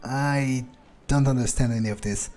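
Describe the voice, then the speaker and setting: A man's voice: mid-range voice, steady tone, moderate pace, a little mellow. A man role-playing his character in a video game playthrough, thinking aloud in a musing, hesitant, sardonic way. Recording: faint background game ambience.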